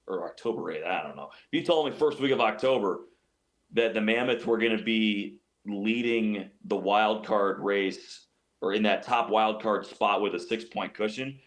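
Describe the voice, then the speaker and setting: A man giving sports analysis as an interview guest, explaining his points emphatically and often repeating phrases. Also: on the low side. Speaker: radio play-by-play announcer as guest.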